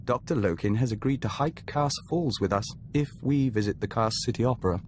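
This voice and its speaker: An actor playing a serene male diplomat of a hive mind in a video game, calm, formal and deliberate. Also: medium pitch, a little hiss or hum, close to an everyday voice. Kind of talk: video game cutscene acting.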